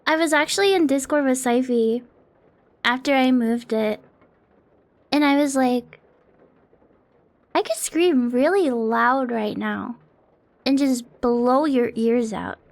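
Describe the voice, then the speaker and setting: Young woman streamer, high voice, slow and rambling, self-deprecating with casual swearing, close desk mic at home. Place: home apartment streaming setup. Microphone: close desk mic on an arm.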